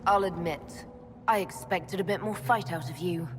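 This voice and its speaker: A woman playing a young elf mage heroine in a fantasy video game. Her slightly low voice is firm and composed, heard in a reverberant hall with noisy ambience. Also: normal speed, lightly acted. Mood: resolute. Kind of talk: dramatic fantasy game voice acting.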